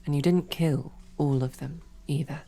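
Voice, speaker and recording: A woman voicing a devoted, protective female royal knight in a whispered audio roleplay, with soft, earnest questioning. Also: low-pitched, fairly even intonation, moderate pace, faint music behind. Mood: soft, searching.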